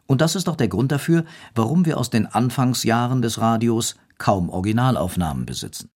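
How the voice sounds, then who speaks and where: A man's voice: mid-range voice, animated, brisk, darkish tone, slightly rough. A man reading scripted documentary narration as the narrator of a radio history feature.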